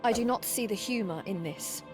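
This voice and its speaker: A woman's voice: medium pitch, moderate pace, natural voice. A woman playing a dutiful young Valkyrie warrior in a video game, speaking in formal, stern, earnest phrases. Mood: stern, cold. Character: solemn, dutiful young female Valkyrie warrior serving a god. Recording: music under the voice.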